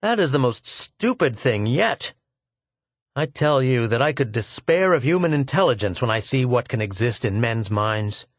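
A man's voice: mid-range voice, lively intonation, moderate pace, no echo. A man voicing a frank male archaeologist who speaks bluntly and gets exasperated easily.

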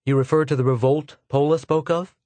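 A man's voice: fairly high pitch, fairly even intonation, brisk, dark tone, no room sound, voice pushed up, lightly acted. A man voicing a frank male archaeologist who speaks bluntly and gets exasperated easily.

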